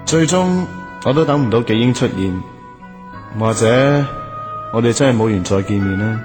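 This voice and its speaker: An actor playing a lovelorn, hopeful young student in a radio drama, earnest and wistful. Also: male voice, medium pitch, expressive, normal speed, close to an everyday voice. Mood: wistful, sad. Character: lovelorn, hopeful young male student waiting for his girlfriend. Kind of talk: Cantonese radio drama acting and first-person narration. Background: music.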